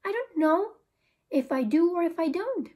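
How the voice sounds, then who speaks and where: Woman as an exasperated high-voiced sixth-grade boy. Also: animated, normal speed, dark tone. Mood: frustrated. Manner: questioning, exasperated, blunt. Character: Peter, unsettled, argumentative sixth-grade boy.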